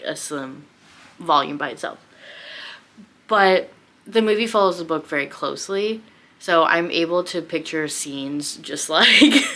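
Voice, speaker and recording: Low-voiced woman chatting casually about books. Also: a bit bright. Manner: self-deprecating, rambling, thinking aloud, giggly. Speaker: book vlogger. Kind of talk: casual chatty book review, unscripted.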